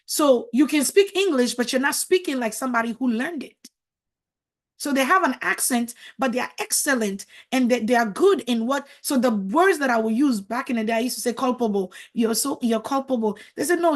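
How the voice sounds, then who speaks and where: A middle-aged woman whose first language is French, giving a motivational talk as a marriage coach, emphatic and preachy, repeating words for stress. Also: on the high side.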